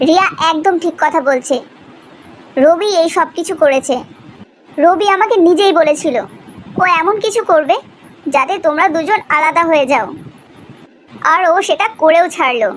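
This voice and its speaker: A man puts on a dramatic, wounded young woman for a cartoon romance. The voice is extremely high, theatrical and accusing. There is faint noise in the recording. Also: normal speed, long pauses, fairly bright tone. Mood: pleading. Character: young women quarrelling in a love triangle, indignant and dramatic. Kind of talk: dramatic animated story dialogue.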